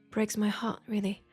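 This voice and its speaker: A woman with a French accent playing a knowing, teasing witch in an intimate roleplay audio drama, with husky, breathy speech and dramatic pauses. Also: medium pitch, a little mellow. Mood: tender, teasing. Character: knowing, teasing, compassionate witch in a secluded werewolf town.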